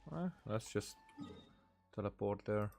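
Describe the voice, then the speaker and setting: A man giving casual live game commentary as a streamer, halting and searching for his words. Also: fairly low pitch, animated, gravelly, a little mellow, soft background music.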